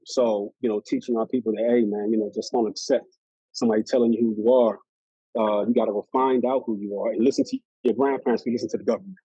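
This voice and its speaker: Low-fidelity Philly man, warm and dry. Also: dry recording. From Philadelphia, USA. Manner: rambling, many fillers and restarts. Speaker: guest. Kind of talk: casual personal story. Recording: muffled lo-fi audio.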